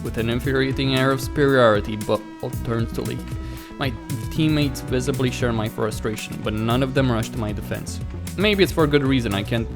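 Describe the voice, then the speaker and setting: A man's voice: mid-range voice, fairly quick, hardly any pauses. Man narrating a visual novel over music. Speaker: let's play host. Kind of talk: dramatic reading of visual novel with casual asides.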